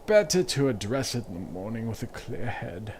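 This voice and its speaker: A man's voice: lowish voice, sing-song, normal speed, heavy background noise. Man acting a blunt lecherous Duke, swooping intonation. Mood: weary, gruff. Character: lecherous, blunt, bearded middle-aged Duke. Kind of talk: storybook audio drama acting.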